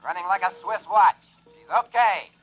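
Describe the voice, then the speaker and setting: A man acting a daring, excitable test pilot in a 1950s radio drama, giving clipped cockpit reports. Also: high voice, moderate pace, dull audio, loud music behind the voice, close to an everyday voice. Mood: brisk, focused. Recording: noisy 1950s radio recording, engine drone.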